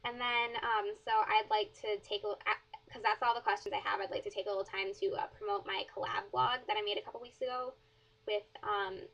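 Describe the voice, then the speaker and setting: A teenage girl from chats through follower questions, her light wrapped in a flat, level tone. She rambles, leaning on "like" and "um" as she goes. The audio is dull and lo-fi, like a cheap webcam mic. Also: mid-range voice, fairly quick, gravelly. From southern Wisconsin, USA. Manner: rambling, many fillers and "like". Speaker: blogger answering questions. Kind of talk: casual Q&A vlog.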